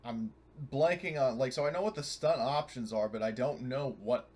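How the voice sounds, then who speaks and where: A man's voice: mid-range voice, moderate pace, a little mellow. Rambling, sweary man at a game. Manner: rambling, sweary, thinking aloud. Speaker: tabletop game player. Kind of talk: casual game chat.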